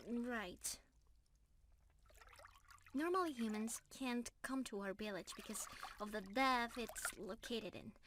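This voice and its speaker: A woman's voice: fairly high pitch, noisy background. A woman playing a shy, sweet young mermaid in love, speaking earnestly to one listener in an ASMR romance roleplay. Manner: earnest, bashful, explaining gently to one listener.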